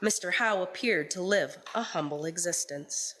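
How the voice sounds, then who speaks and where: A woman's voice: fairly low pitch, normal speed, pushed and bright, slight background noise. A woman giving scripted storytelling narration as the narrator of a radio play.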